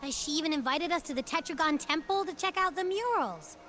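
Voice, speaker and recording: A peppy young girl companion from a video game, speaks in a high voice. Her pitch swings widely as she chats in loyal, slangy, expressive lines, with faint music underneath. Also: brisk. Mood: insistent, earnest. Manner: casual, slangy, chatty, expressive. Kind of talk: video game story dialogue.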